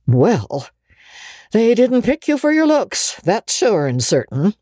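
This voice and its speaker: A woman's voice: mid-range voice, very animated, takes it slowly, warm tone, no echo, studio quality, voice pushed up. A woman voicing a haughty, easily scandalized village matron in a dramatic audiobook, outspoken, emphatic and judgmental. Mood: disapproving. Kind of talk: dramatic audiobook character acting.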